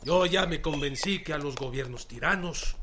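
An actor playing a resolute, proud rural Mexican revolutionary general in a radio drama, speaking plainly with folksy country turns and a Mexican accent. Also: male voice, a little high, normal speed, background noise, natural voice.

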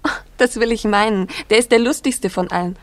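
An actress playing a young, warm-hearted village girl with a secret, earnest and often hushed, in a German radio drama. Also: medium pitch, brisk, no room sound, natural voice. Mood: eager, bright.

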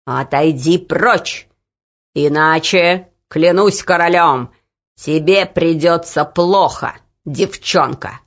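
Woman putting on a growly mean whip-cracking overseer guy, slow and heavy, gravelly, muffled old recording. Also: low voice, full character voice. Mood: angry. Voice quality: growling, gruff. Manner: slow, menacing, threatening. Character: cruel shouting male overseer with a whip. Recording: dull lo-fi recording.

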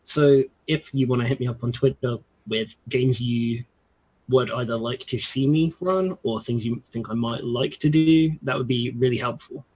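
Husky-voiced hesitant man. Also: medium pitch, normal speed, darkish tone, poor audio. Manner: hesitant, many fillers. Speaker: regular player. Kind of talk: casual chat.

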